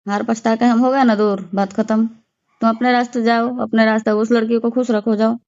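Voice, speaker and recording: A woman's voice: a little high, little pitch movement, moderate pace, a little mellow. A woman in a heated private argument on a recorded phone call, speaking in a curt, defiant and indignant way. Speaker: caller in a personal phone argument.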